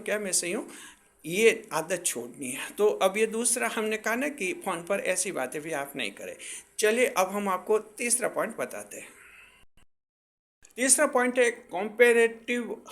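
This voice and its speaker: Higher-pitched man explaining astrology advice. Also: a bit bright. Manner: didactic, repeats and rephrases points for emphasis. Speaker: astrology presenter.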